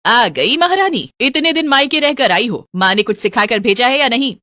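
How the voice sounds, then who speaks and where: Woman doing a bossy older saas for a story cartoon, scolding and taunting, loud and sharp. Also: a little high, animated, moderate pace, dry recording, studio quality, pitched above the performer's own voice. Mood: suspicious, taunting.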